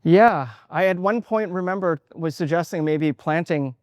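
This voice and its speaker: A man's voice: a little high, normal speed, a little mellow, no echo. A man in his forties who grew up in Canada, an artist talking about his work in an interview, thoughtful and hesitant, with many fillers and restarts. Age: 40s.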